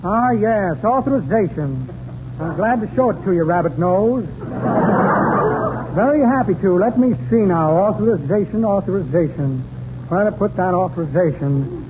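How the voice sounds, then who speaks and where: A man's voice: sits high, animated, normal speed. A man playing a pompous, long-winded swindler of a salesman in florid 1930s radio comedy style. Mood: blustering, sly. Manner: florid, grandiose, rambling patter. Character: pompous, wordy old-style male con man and salesman. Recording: noisy, tinny 1930s radio broadcast recording.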